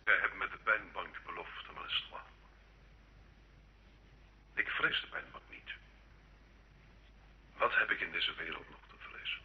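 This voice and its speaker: A man's voice: low-pitched, a little slow, pauses often, pushed and bright, full character voice. An actor playing a condemned nobleman and former soldier, haunted and proud, in solemn, brooding radio drama. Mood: defiant. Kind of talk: slow theatrical radio drama acting. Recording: dull old radio recording, roomy echo.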